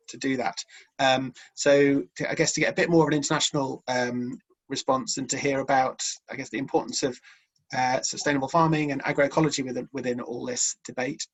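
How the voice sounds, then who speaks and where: Hesitant man moderating, narrow pitch range. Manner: hesitant, halting with repeated words. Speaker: event host and moderator. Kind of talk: moderating an online panel discussion.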